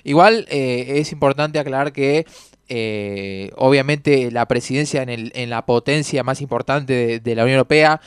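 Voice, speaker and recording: A man co-hosting a talk show, analyzing political news in a measured, hesitant way with many fillers. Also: mid-range voice, clean tone, no echo, clean studio sound. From Argentina. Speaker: radio co-host.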